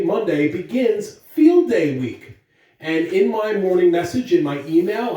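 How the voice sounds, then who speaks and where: A man's voice: fairly high pitch, warm tone. A man giving morning announcements as a school administrator, speaking to young children in an encouraging, emphatic way. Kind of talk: upbeat school announcements and read-aloud to children. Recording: very echoey room, distant mic.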